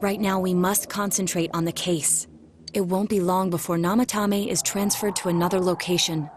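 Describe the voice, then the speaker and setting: A woman's voice: on the low side, fairly even intonation, normal speed, lightly acted. A woman voicing a serious, analytical young detective in a video game dub, speaking formally and precisely. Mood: businesslike.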